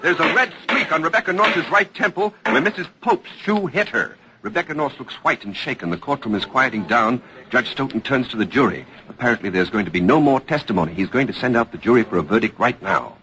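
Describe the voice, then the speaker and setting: A man's voice: medium pitch, lively intonation, fairly quick, few pauses, sharp tone, a faint crowd. A man playing an urgent live radio reporter, giving clipped, dramatic commentary in 1940s news style. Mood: excited, alarmed. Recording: faintly noisy old radio broadcast.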